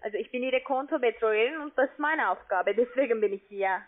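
Female telesales agent, non-native with foreign accent, high voice, halting delivery, phone-line sound. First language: not German. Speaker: call centre account agent selling online trading. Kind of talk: phone sales pitch. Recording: tinny, narrow phone line.

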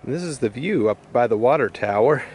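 A man's voice: mid-range voice, a little fast. A middle-aged man chatting casually as he films a walk along outdoor trails, explaining the sights as he goes. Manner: relaxed, chatty, explains what he sees. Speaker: vlog co-host. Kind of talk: casual travel vlog narration. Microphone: handheld camera mic. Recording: outdoor camera audio with wind noise.

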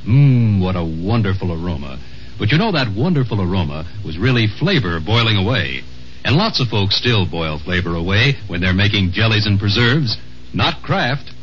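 A man's voice: fairly low pitch, very animated, normal speed, a bit bright, slight background noise. A man with an American accent announcing and reading adverts in a 1950s radio style. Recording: muffled old radio broadcast, faint music.

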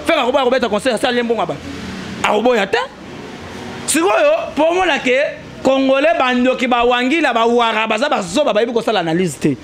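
Young man, high voice, animated arguing, handheld mic, small indoor studio. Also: brisk, slight background noise. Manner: animated, argues with rising emphasis, mixes French and Lingala. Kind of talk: heated casual debate. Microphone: handheld mic held toward him.

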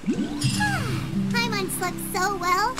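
A woman voicing a tiny, excitable, childlike fairy companion in a squeaky game-character voice. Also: slow pace, loud music behind the voice, heavily acted. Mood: cheerful, eager. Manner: exclamatory, talks of self in third person.

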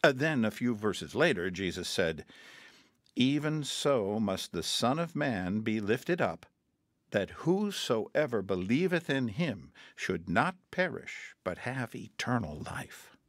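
An actor playing a patient mission counselor in a radio drama, talking calmly and earnestly and quoting scripture. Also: male voice, fairly low pitch, takes it slowly, no room sound, studio quality, exaggerated voice. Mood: gentle. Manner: calm, earnest, quotes scripture.

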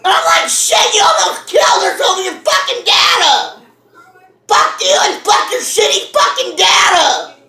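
Super high pitched lady yelling a sweary rant to camera, echoey room. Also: sing-song, normal speed, vocal fry. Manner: profane, exasperated, dramatic; shouting, emphatic. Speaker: video host ranting to viewers. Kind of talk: loud comic rant, storytelling to camera.

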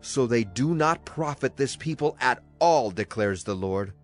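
A man's voice: mid-range voice, animated, a little slow. A man gives a solemn, declamatory dramatized reading of scripture as a Bible narrator. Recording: clear music behind the voice. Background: music.

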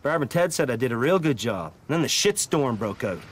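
A man's voice: medium pitch, big pitch swings, brisk, a little hiss or hum, natural voice. A man playing a pompous new park supervisor. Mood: narrating. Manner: blustery, self-important speechmaking. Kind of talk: mockumentary comedy acting. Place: outdoors at a trailer park picnic.

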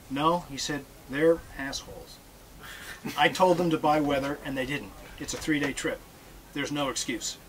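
A middle-aged man giving a relaxed, joking talk about sailing to an audience, standing aboard a catamaran in a marina with notes in hand. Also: a little high, slight background noise, poor audio. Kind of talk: casual presentation to an audience. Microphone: far camera.

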